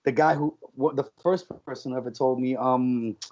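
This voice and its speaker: A man's voice: medium pitch, fairly even intonation, moderate pace, darkish tone. A man co-hosting a podcast, talking casually and telling stories with lots of fillers. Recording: dull lo-fi sound.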